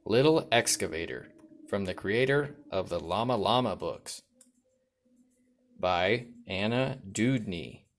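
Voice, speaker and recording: Male storyteller, low voice, reading a picture book to a young child with rhythmic, deliberate emphasis. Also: expressive. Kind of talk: read-aloud children's storytelling.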